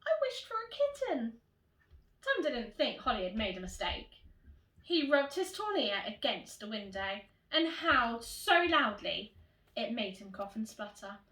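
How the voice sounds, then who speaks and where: In an echoey room, a woman reads a storybook to young children. Her fairly high voice moves with lots of expression and variety, like a primary teacher addressing her pupils. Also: very animated, measured pace, sharp tone. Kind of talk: animated children's storybook reading. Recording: roomy echo, distant microphone.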